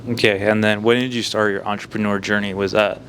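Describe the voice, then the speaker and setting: A man's voice: on the low side, little pitch movement, a little fast, fairly bright tone, slight background noise. A man hosting a podcast, asking easygoing questions in loose, casual phrasing. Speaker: podcast host and interviewer. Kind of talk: casual interview, intro narration.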